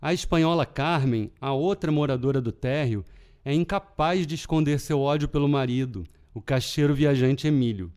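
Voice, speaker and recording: A man with a Carioca accent that leans toward European Portuguese, host of a literary podcast, giving measured scripted narration. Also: mid-range voice, darkish tone, smooth. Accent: Rio de Janeiro Portuguese with European Portuguese touches. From Brazil. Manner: measured, deliberate reading.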